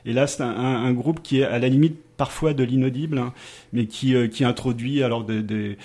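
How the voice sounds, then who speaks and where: A man at a studio mic talks through a music column in a mid-pitched voice. He improvises, halts often and pokes fun at himself with easy laughs. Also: fairly even intonation, dark tone. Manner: self-mocking, halting, laughs easily. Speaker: radio columnist. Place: radio studio.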